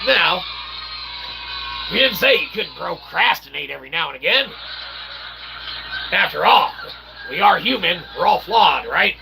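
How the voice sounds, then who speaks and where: A man's voice: high-pitched, animated, a little slow, vocal fry, pauses often, noisy background. A man giving a rambling informal monologue in mock-formal, wordy phrasing, often checking in with a short questioning tag. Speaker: channel host and self-published author.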